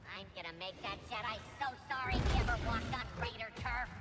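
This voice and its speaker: A man's voice: very high-pitched, fairly quick, loud music behind the voice. A man voicing a cocky, dim, chatty battle droid in a video game, with a nasal robotic voice and naive, boastful manner.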